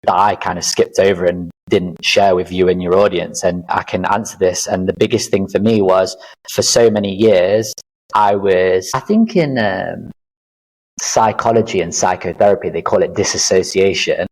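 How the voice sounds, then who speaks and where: Podcast guest, man in his thirties, low voice, earnest, reflective, rambling with fillers. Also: moderate pace, rough recording. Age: 30s. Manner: reflective, earnest, lists experiences with many fillers. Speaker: podcast guest, life coach. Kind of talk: casual interview chat.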